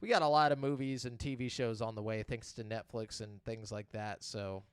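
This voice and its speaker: A man's voice: lowish voice, steady tone, normal speed, clear, resonant tone, no room sound. A man hosting a podcast in casual, slangy chat, with some swearing. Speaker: podcast host.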